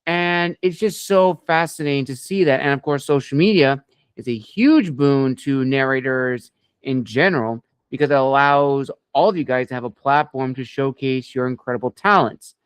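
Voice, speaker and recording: A man hosting an interview podcast, asking long questions in an earnest, measured and somewhat formal way. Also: mid-range voice, clear, resonant tone, dry recording. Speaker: podcast host, interviewer and author.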